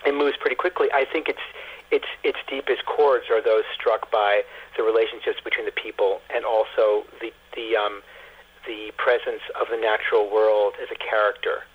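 Halting man on a muffled phone line. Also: lowish voice, fairly even intonation, slightly rough. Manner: halting, repeats words often as he explains. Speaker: novelist, interview guest. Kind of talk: conversational interview answers. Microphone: telephone.

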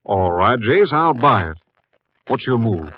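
A man playing a gruff, businesslike police captain in a 1950s radio drama, speaking tersely and weighing things sceptically. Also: medium pitch, sing-song, a little slow, a little hiss or hum. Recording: muffled 1950s radio recording.

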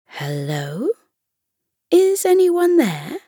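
A woman's voice: sits high, huge pitch swings, extremely slow, dark tone, dry recording, studio quality, voice pushed up, heavily acted. A woman voicing a tiny, kind and cheerful female unicorn in a children's storybook, with a sweet lilting delivery. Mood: curious, calling out.

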